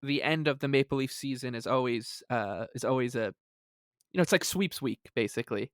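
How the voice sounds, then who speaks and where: A man co-hosting a podcast in casual chat, halting and stammering, with a wry way of talking. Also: mid-range voice, a bit bright, clear, resonant tone, no room sound, clean studio sound. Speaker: podcast co-host.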